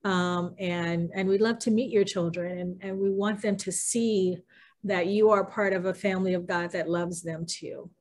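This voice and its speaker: A woman's voice: lowish voice, fairly even intonation, moderate pace, darkish tone. A middle-aged woman leading a church group discussion over a video call from a room at home, encouraging and full of fillers. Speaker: ministry group leader. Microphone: video call, laptop camera.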